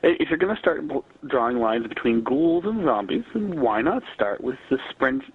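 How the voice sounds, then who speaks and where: A hoarse man with a cold, leaving an eager, opinionated voicemail to a podcast as a fan. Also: mid-range voice, expressive, normal speed. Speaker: caller, listener. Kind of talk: recorded voicemail message. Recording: tinny phone line.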